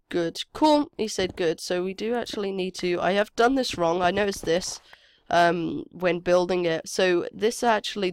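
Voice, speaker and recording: A woman's voice: fairly low pitch, smooth, no room sound. A woman with a cold, her voice sniffly and cracking, chatting hesitantly and thinking aloud as she commentates her own game. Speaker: gameplay commentator.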